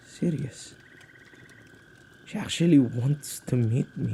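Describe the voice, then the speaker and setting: A man acting an anxious, protective witch who guards spirits, in an intimate, expressive ASMR audio roleplay with a halting conversational delivery. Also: medium pitch, vocal fry. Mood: stunned disbelief. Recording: faint background noise.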